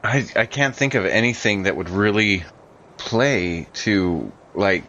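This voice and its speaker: A man with a low voice talks casually as a player in a tabletop game, hesitantly working out his character's choices aloud. The sound is a little dull, with faint noise behind him. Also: slow, bright, forceful tone.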